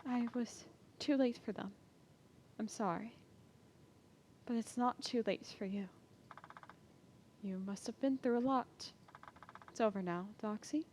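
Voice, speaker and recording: A woman voicing a calm, mysterious noblewoman who speaks softly and gently. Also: medium pitch, moderate pace, long pauses, slight background noise, lightly acted. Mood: soothing. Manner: hushed, soft and gentle.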